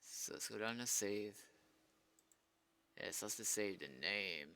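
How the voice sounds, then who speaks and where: A man's voice: on the low side, lively intonation, unhurried, sharp tone, clear, resonant tone. A man with an American accent chatting casually and loosely, in a hesitant, rambling way, like a developer streaming while he works. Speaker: game developer live-streaming.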